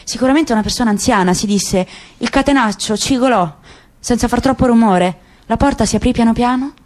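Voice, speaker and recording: A woman narrating a literary story for radio, slow and lingering with dramatic pauses. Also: a little high, darkish tone.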